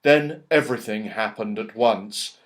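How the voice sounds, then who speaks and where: A man's voice: lowish voice, measured pace. A man narrating a children's story as an audiobook, expressively, with dramatic emphasis and storyteller's phrasing.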